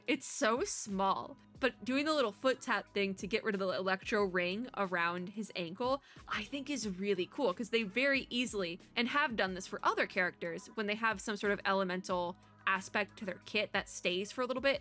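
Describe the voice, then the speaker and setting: A woman's voice: mid-range voice, bright, forceful tone. A woman hosting a casual game reaction video as a VTuber, chatty and gushing, rambling off into slangy asides.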